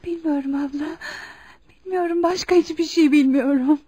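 A woman's voice: high voice, fairly even intonation, normal speed, mellow, dry recording, close to an everyday voice. An actress playing a fragile, troubled young woman in a radio drama, speaking in a plaintive, anguished manner. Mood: confused, distressed.

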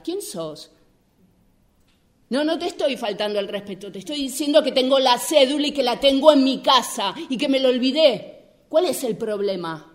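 A woman acting out a defiant, exasperated driver in an improvised radio drama exercise, with a Rioplatense Spanish accent. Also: high voice.

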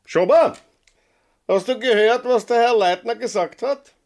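An actor playing a gruff, commanding village police sergeant who barks orders in a radio drama. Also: male voice, very high voice, a little slow, darkish tone. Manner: barking orders, exclamatory.

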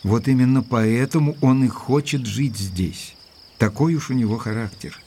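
An actor playing an earnest, gentlemanly doctor in an audio drama, speaking in a courteous, measured way. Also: male voice, mid-range voice, breathy edge. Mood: earnest concern. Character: earnest gentlemanly doctor, loyal and cautious, narrating. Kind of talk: audio drama narration and dialogue.

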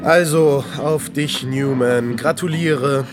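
A man's voice: medium pitch, steady tone, slow pace, over music, lightly acted. An actor playing a casual, wry young male friend in a naturalistic radio drama. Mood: sardonic.

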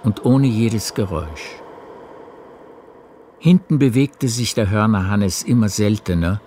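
A man narrating a literary radio play in a measured, composed manner. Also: on the low side, lively intonation, dark tone, a little hiss or hum. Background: faint music.

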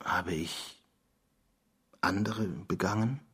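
Tormented male office clerk, radio drama: low, husky, defensive, formal, full of anguished doubt. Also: slow pace, fairly bright tone, exaggerated voice. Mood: anguished, hushed. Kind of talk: German radio drama acting.

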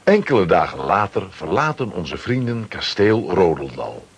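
A man's voice: deep voice, sing-song, moderate pace, slightly rough, highs cut off. A man reading scripted narration for a children's radio play.

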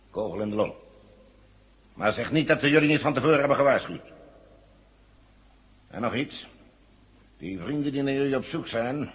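Smug, menacing radio-play captor. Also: male voice, on the low side, a bit bright. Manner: sardonic, condescending, controlled. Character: smug, menacing male captor, coolly mocking villain. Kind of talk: 1970 Dutch radio drama acting.

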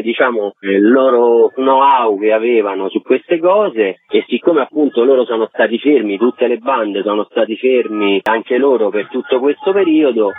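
Male event organiser interviewed, average pitch, unscripted, narrow telephone-style sound. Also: dry recording. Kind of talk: spontaneous interview answers. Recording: tinny, narrow phone-like line.

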